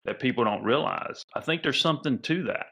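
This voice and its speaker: A man's voice: medium pitch, animated, fairly quick, sharp tone, husky. A man hosting a podcast, asking loose, rambling questions in casual interview chat. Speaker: podcast host.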